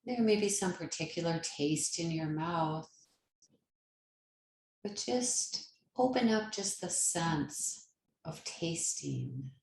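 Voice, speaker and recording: Female meditation guide, lower-pitched and very slow, gentle and soothing, in a roomy, echoing space. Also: lively intonation, a little hiss or hum. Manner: gentle, unhurried, soothing instruction with long pauses. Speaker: yoga nidra meditation teacher.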